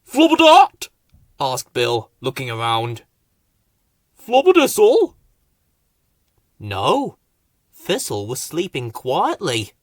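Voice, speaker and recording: A man reading a children's story as narrator, in a dramatic, expressive scripted delivery. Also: medium pitch, slow, no echo, studio quality.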